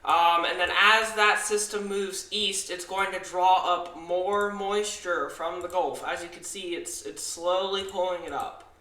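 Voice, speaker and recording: A tired young man with a Southern US accent hosting a casual weather forecast, halting through lots of fillers and restarts. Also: sits high, fairly even intonation, bright, forceful tone. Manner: halting, many fillers and restarts, self-corrects. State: tired after little sleep. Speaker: weather forecaster, channel host. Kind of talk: casual forecast walkthrough over weather maps. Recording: echoey room.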